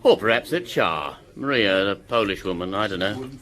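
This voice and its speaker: An actor playing a gossipy, sardonic village innkeeper in a radio drama, with a dry, knowing, teasing manner. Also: male voice, on the low side, lively intonation, moderate pace, sharp tone.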